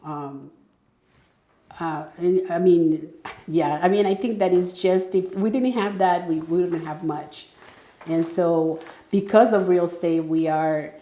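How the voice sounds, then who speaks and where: A middle-aged woman from El Salvador speaks in a low, warm voice, chatting as an interview guest. She talks in a loose, conversational way, full of "you know"s and restarts. The room around her is noticeably echoey. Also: slow. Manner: conversational, frequent fillers and restarts. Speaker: guest entrepreneur. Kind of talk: casual interview talk.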